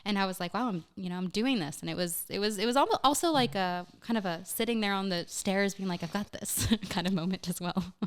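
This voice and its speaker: A woman's voice: medium pitch, brisk, few pauses. A young woman chatting as a podcast guest, upbeat and talkative, laughing often and restarting her sentences. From United States. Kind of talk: casual conversational interview.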